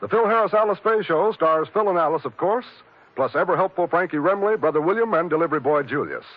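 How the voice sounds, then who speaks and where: A man's voice: on the high side, animated, fast, dry recording. An American man announcing in scripted 1950s network radio style. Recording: dull old radio broadcast recording.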